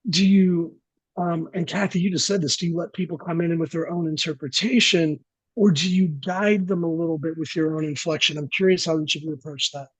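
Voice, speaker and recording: A man on a video call asking a long, halting question with many restarts. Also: on the high side, steady tone, breathy edge, no room sound, low-quality recording. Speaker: audience member asking a question. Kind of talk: rambling conversational question. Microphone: computer mic on a video call.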